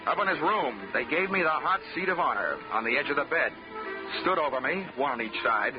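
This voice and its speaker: An American actor playing a hard-boiled, sardonic investigator in a 1940s radio drama, dry and wisecracking. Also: male voice, fairly high pitch, expressive, fairly quick, over music, close to an everyday voice. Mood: wry. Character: wry, hard-boiled male private investigator, sardonic and unflappable. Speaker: lead actor and narrator. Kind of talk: 1940s radio detective narration and acting. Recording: muffled 1940s radio recording.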